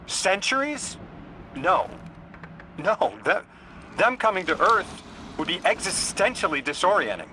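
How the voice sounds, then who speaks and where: A man's voice: big pitch swings, a little slow, pauses often, bright, forceful tone, full character voice. A man playing a wry, worried video game character in conversational, slightly hesitant dialogue. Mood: troubled. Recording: noisy game ambience, vehicle rumble.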